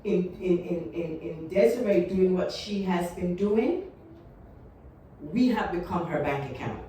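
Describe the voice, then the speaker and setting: A woman in her fifties, a panel guest who tells stories in a relaxed way and repeats her points. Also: fairly low pitch, unhurried, slight background noise. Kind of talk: panel discussion. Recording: echoey room, mic from a distance.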